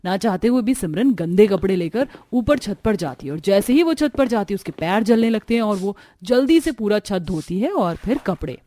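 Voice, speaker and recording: A woman's voice: mid-range voice, animated, normal speed, hardly any pauses, dark tone. A woman narrating a scripted cartoon story. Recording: music bed behind the voice.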